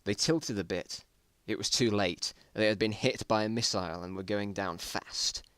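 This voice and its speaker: A man's voice: fairly low pitch, moderate pace, a bit bright, clean tone, no echo. A man giving an amateur dramatic reading of his own old story, with amused, self-mocking asides. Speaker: narrator.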